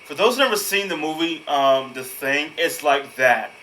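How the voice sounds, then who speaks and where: A man's voice: fairly high pitch, expressive, moderate pace, bright, forceful tone, slight background noise, poor audio. A man giving casual, emphatic reaction commentary, repeating words for effect. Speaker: host reacting to a horror video.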